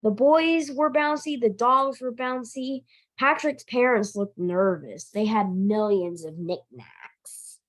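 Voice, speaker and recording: A woman reading a children's story aloud in an animated, expressive storytime style, now and then stumbling over a word. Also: a little high, takes it slowly. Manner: expressive, emphatic, occasionally stumbling over words. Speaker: library director reading a picture book aloud.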